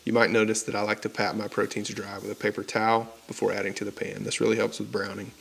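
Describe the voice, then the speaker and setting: A man in his thirties calmly walking through a recipe step by step as a cooking channel host, recorded in a home kitchen on a studio mic. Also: fairly low pitch, vocal fry, a little hiss or hum. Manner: friendly, measured instructional delivery. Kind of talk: scripted recipe walkthrough. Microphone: studio mic on stand in frame.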